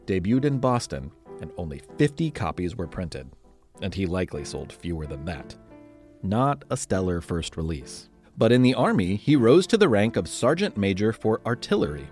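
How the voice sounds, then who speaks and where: A man's voice: lowish voice, a little mellow. A man narrating scripted history as a podcast host, in a playful, conversational storytelling way with dramatic emphasis.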